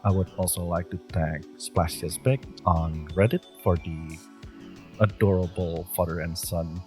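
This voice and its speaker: A man's voice: deep voice, fairly even intonation, unhurried, a little mellow, faint music behind. A man, the creator of an audio roleplay channel, thanking listeners in a friendly outro. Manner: friendly, direct address to listeners.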